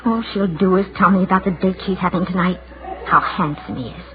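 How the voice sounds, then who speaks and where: A woman's voice: on the low side, a little fast, natural voice. An actress playing a shy, anxious, judgmental lonely woman of thirty in a brittle, fretful 1940s radio drama style. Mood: bitter, fretful. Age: 30s. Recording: noisy, narrow-band 1940s radio recording.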